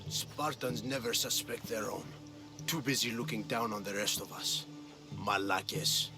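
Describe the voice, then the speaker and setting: Sly, bitter thief, music behind. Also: male voice, fairly low pitch, unhurried. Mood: scornful. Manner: bargaining, scornful. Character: sly, injured male thief, bitter and opportunistic. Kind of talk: video game cutscene acting.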